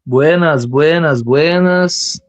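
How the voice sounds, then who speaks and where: A playful southern Brazilian man co-hosting a podcast, joking as he talks. He speaks at an unhurried, drawn-out pace. The sound is dry and close, with no room to it. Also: a little high, no room sound. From Brazil, Rio Grande do Sul. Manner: playful, joking, chuckles often. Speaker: podcast co-host. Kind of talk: casual podcast chat.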